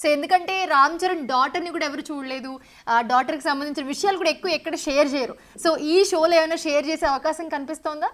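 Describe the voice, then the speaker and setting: A woman's voice: high-pitched, fast talker, fairly bright tone. A woman news anchor, presenting live and putting questions to a reporter.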